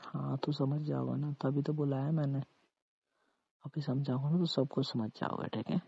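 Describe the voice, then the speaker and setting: A man playing a teasing, romantic, mildly demanding husband in a casual, coaxing at-home conversation, with a husky voice. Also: medium pitch, steady tone, normal speed, mellow, dry recording. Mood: teasing, affectionate. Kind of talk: intimate Hindi role-played couple conversation. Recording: muffled, lo-fi, dry call-style recording.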